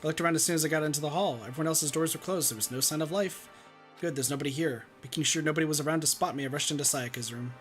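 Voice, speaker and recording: A man reads a visual novel aloud in a casual way. His voice sits a little high and he moves along quickly. Soft music plays underneath. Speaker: player reading a game aloud.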